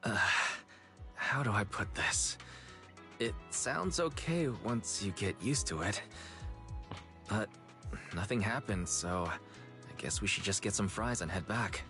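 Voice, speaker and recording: A man's voice: lowish voice, expressive, takes it slowly. An actor playing a polite, easygoing young man with a mild, courteous manner in a video game. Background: game music.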